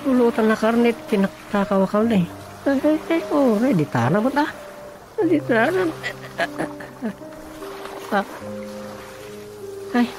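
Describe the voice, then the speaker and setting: A woman's voice: a little high, animated, measured pace, pauses often, over music. A woman playing a fussing, exclaiming villager in a radio comedy drama, with a Filipino accent. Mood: agitated.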